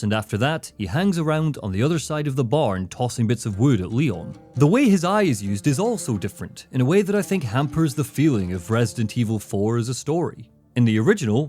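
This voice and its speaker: A man narrating a scripted video essay as its host, with dramatic, deliberate phrasing. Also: medium pitch, warm tone. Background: faint background music.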